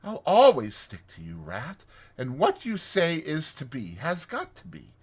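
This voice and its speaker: A man voicing a meek, loyal, wistful mole in a storybook, gentle and hesitant. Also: a little high, huge pitch swings, measured pace, husky, voice pushed up, exaggerated voice. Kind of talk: dramatized storybook reading.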